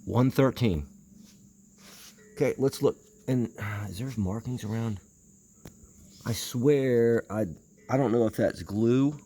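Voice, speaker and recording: A man's voice: medium pitch, slow pace, pauses often, dark tone, soft background music. A man giving unscripted hands-on commentary as he inspects something, rambling hesitantly and muttering to himself. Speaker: vintage audio enthusiast presenting a video.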